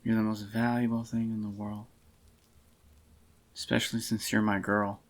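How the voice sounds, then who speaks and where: A man doing a soft-spoken, intimate ASMR roleplay as an adoring, possessive boyfriend, in a slightly groggy, unhurried murmur. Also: fairly low pitch, flat delivery. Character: adoring possessive young boyfriend, tender and clingy. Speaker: ASMR voice actor.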